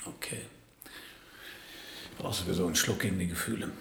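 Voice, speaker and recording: Low-voiced sardonic private detective. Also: male voice, steady tone, brisk, creaky, fairly bright tone, echoey room, slight background noise. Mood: brusque. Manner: dry, wisecracking. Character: sardonic hard-boiled middle-aged male private detective, former anarchist. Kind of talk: radio crime drama dialogue and first-person narration.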